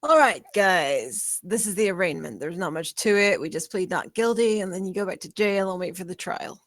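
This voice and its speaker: A woman's voice: lowish voice, animated, normal speed, dry recording, lightly acted. A woman playing a plain-spoken, coaxing defense lawyer in a comedy table read, matter-of-fact. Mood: patient, coaxing.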